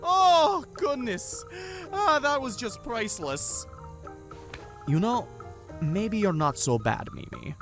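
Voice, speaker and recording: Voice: medium pitch, very animated, slow, fairly bright tone, faint music behind, exaggerated voice. An actor playing a cautious, dry-witted, exasperated fantasy sidekick in an audio drama, wry but polite. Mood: amused.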